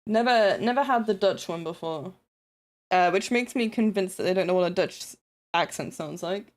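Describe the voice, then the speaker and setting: A woman's voice: lowish voice, normal speed. Woman, New Zealand accent, rambling live-stream chatter, self-deprecating, casual swearing. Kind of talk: casual live-stream chat while building models.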